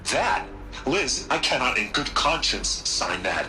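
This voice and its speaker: A desperate male business magnate in a game cutscene. His pitch jumps about as he pleads and dodges, full of guilt. Music is clearly audible underneath. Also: sing-song, unhurried, sharp tone, full character voice. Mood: appalled, agitated. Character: desperate, guilt-ridden male corporate tycoon, evasive and pleading. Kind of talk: video game cutscene acting.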